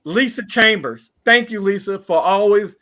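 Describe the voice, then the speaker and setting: Fifties male guest, high-pitched, reminiscing in loose chat, listing old friends. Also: moderate pace. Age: 50s. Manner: reminiscing, rattling off shout-outs. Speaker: podcast guest. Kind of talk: casual interview chat.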